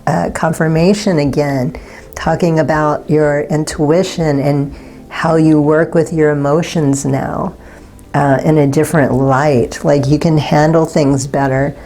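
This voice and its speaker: A woman giving a pick-a-card tarot reading in a soft, unhurried and gently reassuring way. Her voice is slightly raspy, and she often pauses to search for words. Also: deep voice, steady tone. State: slightly raspy from not talking all day.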